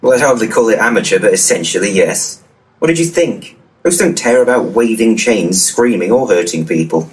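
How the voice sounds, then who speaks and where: Man playing a low, pompous handyman ghost-buster, distant. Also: animated, moderate pace, lightly acted. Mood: blunt, smug. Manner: pompous, matter-of-fact. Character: cocky, crude, know-it-all male ghost-busting handyman. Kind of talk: full-cast comedy audio drama. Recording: distant mic, clear background noise.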